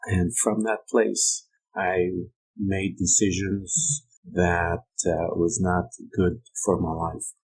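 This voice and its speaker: Deep husky-voiced man, halting. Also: level tone, rough recording. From Guyana. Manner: slow and halting, many ums and uhs. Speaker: podcast host. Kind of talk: reflective personal-development monologue. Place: United States.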